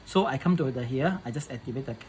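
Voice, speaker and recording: A man presenting a casual hands-on setup tutorial in halting English, often repeating his phrases. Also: mid-range voice, expressive, darkish tone, a little hiss or hum.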